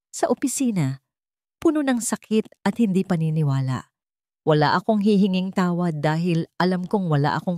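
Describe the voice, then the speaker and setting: A woman's voice: on the low side, dark tone, no room sound, clean studio sound, lightly acted. A woman voicing a remorseful jailed mistress confessing earnestly in a letter, read as dramatic storytelling.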